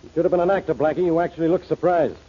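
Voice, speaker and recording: An actor playing a blunt, bossy, sarcastic police inspector in an old-time radio crime drama. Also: male voice, fairly high pitch, rapid-fire, breathy edge. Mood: triumphant, accusing. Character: gruff, stubborn, sarcastic male police inspector. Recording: muffled vintage radio recording.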